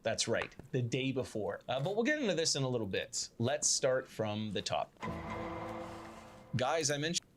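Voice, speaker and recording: A man's voice: medium pitch, sing-song, normal speed, fairly bright tone, soft background music. A man delivering a prepared video statement to camera in an earnest, self-justifying way, with formal, repetitive phrasing. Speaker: video creator giving a response statement.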